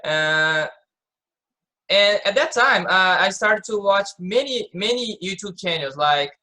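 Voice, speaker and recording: Man, late twenties, bright tone, halting repetitions. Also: high-pitched, lively intonation. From Brazil. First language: Portuguese. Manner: halting, repeats words while searching. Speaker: participant. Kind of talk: casual group discussion.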